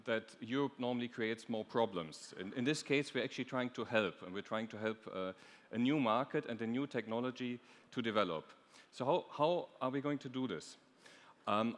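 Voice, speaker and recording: A man giving a conference presentation as an official, hesitating and repeating words mid-sentence. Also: mid-range voice. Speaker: European Commission official, panelist. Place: large conference stage. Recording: echoey hall.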